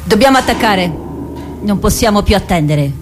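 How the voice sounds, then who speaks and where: An actress in a radio drama playing an insistent female war officer who argues forcefully with her superior. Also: medium pitch, lively intonation, normal speed, noisy background, natural voice. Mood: urgent, insistent. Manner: forceful, argumentative. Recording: prominent music behind the voice.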